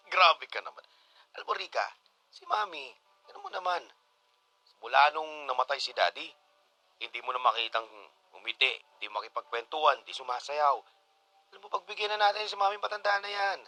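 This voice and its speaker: A man with a Filipino accent playing a playful, teasing and excitable grown son in a radio drama. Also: on the high side, brisk, pauses often, no room sound, rough recording. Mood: coaxing, playful. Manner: animated, teasing.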